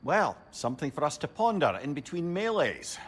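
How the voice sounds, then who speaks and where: An actor playing a curious, talkative male companion to a warrior in a game, with an animated, inquisitive manner. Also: fairly high pitch, moderate pace, slight background noise. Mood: wry. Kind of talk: cinematic game dialogue.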